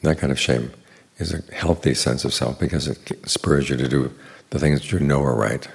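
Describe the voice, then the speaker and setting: A man with a very deep voice full of gravelly fry, a little husky at the edges. He teaches Buddhist ideas in a calm, explanatory way, often restarting his phrases. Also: moderate pace. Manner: calm, explanatory, repeats small words. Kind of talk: Dharma talk.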